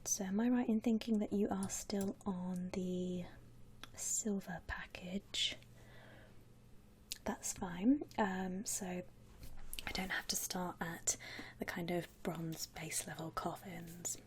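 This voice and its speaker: A woman whispering a soothing ASMR customer-service roleplay, playing a polite, reassuring shop assistant in an unhurried, breathy way. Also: on the low side, fairly even intonation, slight background noise.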